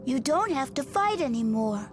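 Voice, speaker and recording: High-pitched gentle spirit girl. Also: big pitch swings, moderate pace, soft background music. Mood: gentle concern. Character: gentle, caring young female great spirit. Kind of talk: dramatic video game voice acting.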